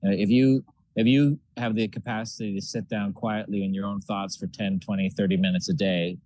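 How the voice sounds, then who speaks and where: Brief: male founder guest, low voice, dry close recording, wry and jokey delivery. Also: level tone, moderate pace, dark tone, no echo, low-quality recording. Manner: lightly joking, slips in a product plug. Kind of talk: unscripted panel discussion.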